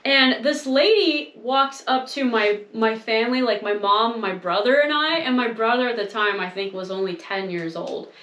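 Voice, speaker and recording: Young woman, casual chat, self-mocking, high voice, roomy echo. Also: normal speed, hardly any pauses, a bit bright. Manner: chatty, self-mocking, laughs often. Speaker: co-host.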